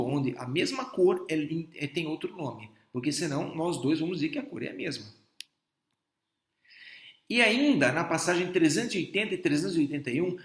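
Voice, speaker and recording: A middle-aged man from Brazil lectures doctors on philosophy, voice sitting a little high for a man. He explains patiently, often stopping to restart a phrase or check that listeners follow. The recording carries a noticeable room echo. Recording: echoey room.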